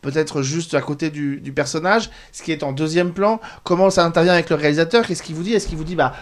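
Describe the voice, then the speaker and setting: A man with a fairly high voice hosts an interview. He talks quickly and casually as he presents and puts questions to guests. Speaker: interview host.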